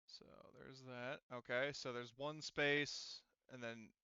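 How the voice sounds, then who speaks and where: A man's voice: medium pitch, fairly even intonation, slow, bright, forceful tone, smooth, dry recording, muffled recording. A man acting as game master in an online tabletop roleplaying session, thinking aloud step by step.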